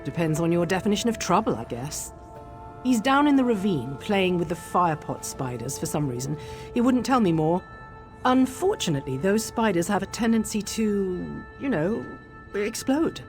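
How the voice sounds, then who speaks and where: A woman's voice playing a wry young dark elf explorer, speaking casually in scripted game dialogue. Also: medium pitch, very animated, normal speed, music in the background.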